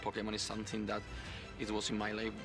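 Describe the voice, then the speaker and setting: A man's voice: fairly low pitch, monotone, fast talker, vocal fry. A man from Spain with a Spanish accent, speaking as a competitor in a pre-match interview. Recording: music under the interview. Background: music.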